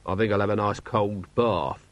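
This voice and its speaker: A man's voice: on the low side, steady tone, moderate pace, no room sound, highs cut off, natural voice. A man voicing a weary, dry-humoured male watch commander in a storybook, battered and muttering. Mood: exhausted. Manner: wry, tired, muttering. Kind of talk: audiobook character voice.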